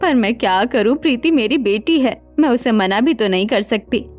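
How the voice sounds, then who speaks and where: Casting: actress as anxious old Indian family matriarch, higher-pitched, dramatic lamenting, cartoon story, faint music behind. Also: female voice, animated, moderate pace, darkish tone, close to an everyday voice. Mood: worried. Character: worn-out poor old mother-in-law, lamenting and anxious. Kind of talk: Hindi cartoon story dialogue.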